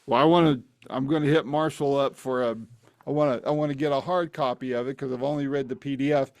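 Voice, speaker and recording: A man's voice: medium pitch, fairly even intonation, quick pace, dry recording. Chatty host man, dry close sound. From Phoenix, Arizona area. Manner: friendly, chatty, says "man" often. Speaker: interview host. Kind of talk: casual interview conversation.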